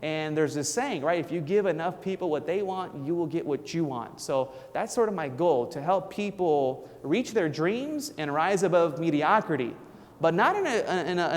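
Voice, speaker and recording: A man's voice: on the high side, big-room sound. A man, around his thirties, giving an earnest, emphatic motivational speech as a speaker and trainer, with occasional restarts. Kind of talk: inspirational speech.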